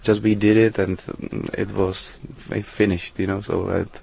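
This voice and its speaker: A man, his voice sitting low and staying flat. He answers questions in halting, English, pausing on fillers and restarting his sentences. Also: creaky, breathy edge. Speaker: interview guest, DJ. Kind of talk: casual interview answers.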